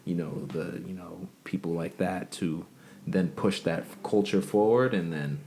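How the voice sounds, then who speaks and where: Podcast co-host, male, low voice, loose filler-heavy chat. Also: measured pace, creaky, mellow, a little hiss or hum. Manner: rambling, filler-heavy storytelling. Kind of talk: casual chat.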